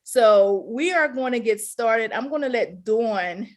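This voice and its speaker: A woman's voice: on the high side, expressive, measured pace. A woman in her fifties hosting a casual relationship talk show on the radio. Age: 50s.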